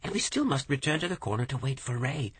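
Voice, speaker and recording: A practical doctor, quick and doubtful, as a male narrator voices him. The voice lifts a little above the reader's deep, husky base. It is recorded dry. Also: big pitch swings, no room sound, heavily acted.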